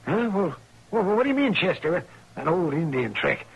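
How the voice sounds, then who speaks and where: An actor playing a fussy, earnest frontier town doctor in a 1950s Western radio drama, folksy and plainspoken. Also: male voice, a little high, big pitch swings, moderate pace, creaky, husky, dull audio, full character voice. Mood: puzzled. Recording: noisy vintage radio recording.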